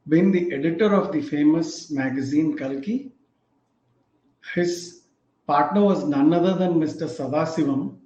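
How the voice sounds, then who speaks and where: A man's voice: fairly high pitch, warm tone. Indian man recalling a beloved book. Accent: Indian English. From Tamil Nadu, India. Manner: reflective, unhurried storytelling with fillers. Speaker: host. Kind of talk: casual book talk. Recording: echoey, dull lo-fi room sound.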